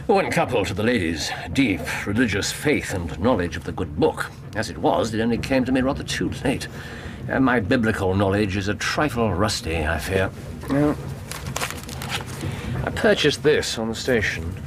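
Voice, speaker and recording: Radio drama: self-assured English detective, male actor, fairly low, clipped and musing, noisy ambience behind him. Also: expressive, moderate pace, close to an everyday voice. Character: brilliant, wry, self-assured gentleman detective.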